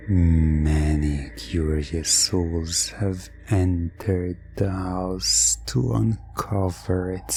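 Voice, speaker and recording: Very slow, low, hushed male hypnosis narrator. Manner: slow, drawn-out second-person storytelling with frequent pauses. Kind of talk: scripted hypnosis roleplay narration, ASMR. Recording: music under the voice. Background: background music.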